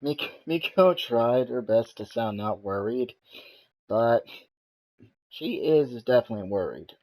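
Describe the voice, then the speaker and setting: A man's voice: medium pitch, expressive, unhurried, darkish tone, low-quality recording. A man giving casual commentary as he plays a video game. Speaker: game player, streamer. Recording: game music behind.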